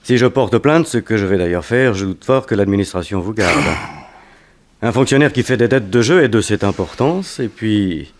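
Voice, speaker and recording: Actor as a suave menacing blackmailer, lowish voice, insistent, coolly mocking, 1960s French radio serial. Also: male voice, animated, moderate pace, close to an everyday voice. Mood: pressing, threatening. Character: smooth-talking, menacing male blackmailer posing as friend.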